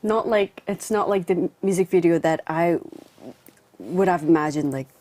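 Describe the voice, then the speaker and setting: A woman's voice: fairly low pitch, normal speed, warm tone, a little hiss or hum. Attentive woman radio host. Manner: attentive, frequent encouraging backchannels. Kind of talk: radio interview hosting. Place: radio studio.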